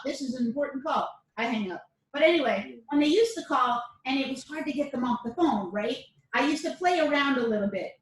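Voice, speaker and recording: A woman instructor giving a casual self-defense lesson, chatty, often checking in with "all right?". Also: sits high. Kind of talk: casual class lecture to camera. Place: dojo or training room. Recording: echoey room, mic at a distance.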